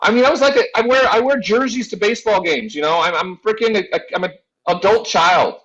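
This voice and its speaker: A man's voice: high-pitched, expressive, fast, a bit bright, lo-fi sound. A man in his forties hosting a casual sports talk show, chatting and telling personal stories in a rambling, boisterous way with free swearing. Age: 40s.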